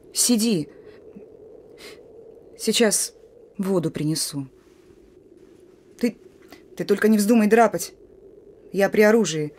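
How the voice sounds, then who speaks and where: An actress playing a stern, suspicious young partisan sentry in a radio play, giving brusque, folksy orders. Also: female voice, medium pitch, a little mellow, a little hiss or hum. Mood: suspicious, scornful. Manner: brusque, rustic colloquial commands. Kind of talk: Russian radio play acting. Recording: radio drama with music.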